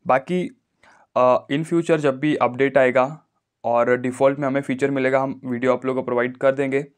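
A man with a middle-pitched voice walks viewers through a phone tutorial. He speaks casually, like a YouTuber explaining a screen recording. He often checks that the point is understood. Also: fairly even intonation, normal speed, smooth.

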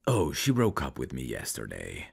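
Man acting a very deep, gravelly flirty guy. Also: huge pitch swings, heavily acted. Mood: easygoing, wry.

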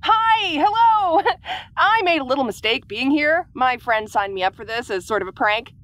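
A woman playing a bubbly, sports-mad alien girl who chatters rapidly and excitably, in an audio roleplay. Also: high-pitched. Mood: hyper, cheerful.

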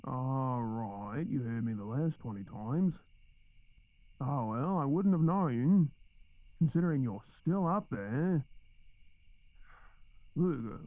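A man's voice: medium pitch, dry recording, muffled recording. A man with an Australian accent playing an exasperated but tender boyfriend in an intimate, conversational audio roleplay. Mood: impatient.

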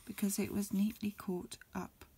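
A woman reading a storybook aloud as ASMR in a breathy, mostly whispered voice, a little hesitant, with small stumbles. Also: mid-range voice, little pitch movement, normal speed, dark tone. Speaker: amateur reader. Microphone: close recording, lo-fi home setup.

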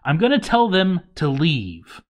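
Bold dwarf for a game story male performer, pitched well up, emphatic and headstrong. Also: huge pitch swings, measured pace. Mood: bold, determined. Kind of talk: acted narration of a game story.

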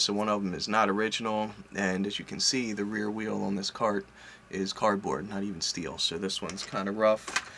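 A man's voice: lowish voice, pushed and bright, a little hiss or hum. A man in his forties giving a casual, rambling vlog update from his home office, often correcting himself mid-sentence. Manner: chatty, rambling, self-correcting, offhand. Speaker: collector and online reseller. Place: home office full of collectibles, indoors. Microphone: webcam at desk, seated.

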